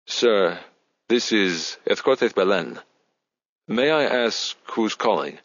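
A slick Spanish agency boss, voiced by a male narrator, courteous and wary. He talks formally like a polished salesman, pitched above the reader's own voice. The audiobook sound is dull and echoey. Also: normal speed, lightly acted. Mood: cool, formal.

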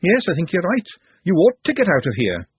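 A man voicing a brisk, bossy young woman with a husky voice. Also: sits high, huge pitch swings, dry recording, pitched above the performer's own voice, exaggerated voice. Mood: urgent. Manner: urgent, bossy. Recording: tinny phone-like band.

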